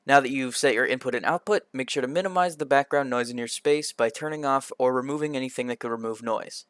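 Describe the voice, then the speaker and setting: A man presenting a scripted software tutorial in an instructional, step-by-step manner, occasionally stumbling over a word. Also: mid-range voice, quick pace, clear, resonant tone, no room sound, studio quality. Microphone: computer microphone in a quiet home room, voice-over.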